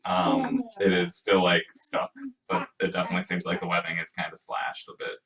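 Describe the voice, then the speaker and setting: Low-voiced game master, far from mic. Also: level tone, fairly quick, a crowd in the background. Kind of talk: narrating a tabletop role-playing game. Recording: distant microphone.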